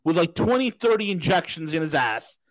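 A man with a fairly high voice rants loudly and mockingly, swearing freely. His voice sounds close and dry, with no room around it. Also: very animated, fairly quick, dry recording, rough recording. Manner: loud, profane, mocking rants. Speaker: podcast host. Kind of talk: casual talk show banter.

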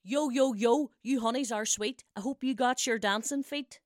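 A woman's voice: fairly high pitch, moderate pace, dry recording, clean studio sound, close to an everyday voice. A woman voicing a sleazy, swaggering young male rapper prince who talks in brash, rhyming street slang. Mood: cocky.

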